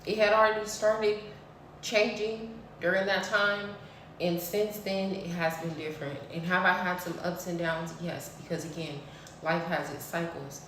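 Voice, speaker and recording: Woman in her 30s preaching about life, lowish voice, kinda far from the mic in a really echoey room. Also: steady tone, measured pace, fairly bright tone, slight background noise. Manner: preachy, blunt, swears freely. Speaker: spiritual vlogger. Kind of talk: casual spiritual talk to camera.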